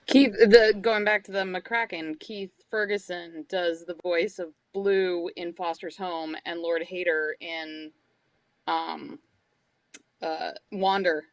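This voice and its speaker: A woman's voice: on the low side, fairly even intonation, slow pace, dry recording, rough recording. A woman, chatting casually as a fan among friends. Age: 30s.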